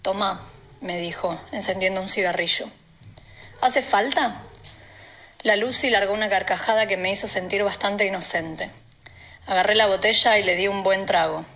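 A woman's voice: mid-range voice, steady tone, fairly quick, bright, forceful tone. A woman with an Argentine accent reading a first-person novel extract as a narrator. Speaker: reader.

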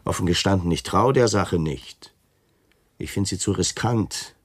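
A self-important camp commandant in a radio drama. The voice is low and husky, recorded very dry. He speaks solemnly and stiffly, with wary hesitation. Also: unhurried, no room sound. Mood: sceptical, reluctant. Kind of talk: German radio play acting.

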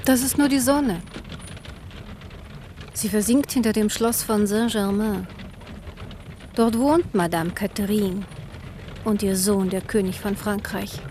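An actress playing a royal mother who reassures her young son in a 1970s radio drama, speaking softly and calmly. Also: mid-range voice, takes it slowly, pauses often, slight background noise, close to an everyday voice. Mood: soothing.

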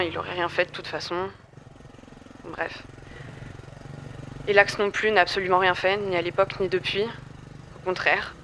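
Young rebel woman, played by an actress: medium pitch, gruff confidence, casual audio drama delivery, slight background noise. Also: fairly bright tone, lightly acted. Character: self-assured, gruff young female rebel courier.

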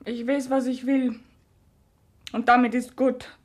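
High-voiced, stubborn young country daughter. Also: female voice, level tone, measured pace, darkish tone. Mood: miserable. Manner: defiant, plaintive. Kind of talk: naturalistic radio play acting.

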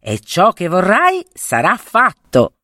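Woman playing an earnest young fairy-tale sister, animated. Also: fairly low pitch, slow pace, no echo, clean studio sound, voice pushed up, exaggerated voice. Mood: earnest, reassuring. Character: kind young foster sister giving a gift.

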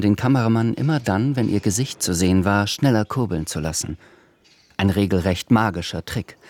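A man giving scripted literary narration for a radio drama in a measured storytelling manner. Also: lowish voice, darkish tone.

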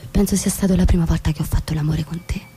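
An actress playing an earnest, emotionally restless young American wife in theatrical stage-drama style. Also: female voice, lowish voice, steady tone, fast, mellow, slight background noise, natural voice. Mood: tender, sincere. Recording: clear music bed behind voice.

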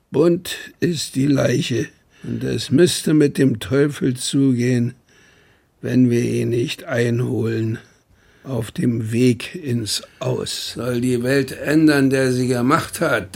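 An embittered working-class veteran declaims in a radio drama. He is sardonic and emphatic, speaking slowly with a gravelly creak at a middle pitch. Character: embittered, defiant working-class veteran who knows hard life.

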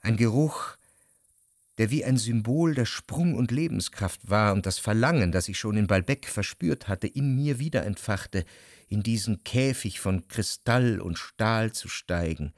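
Dreamy melancholic literary narrator, male, lowish pitch, dry close sound, measured and lyrical, radio play. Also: no room sound. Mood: rapturous. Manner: measured, reflective, lyrical delivery. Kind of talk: literary radio drama narration in first person.

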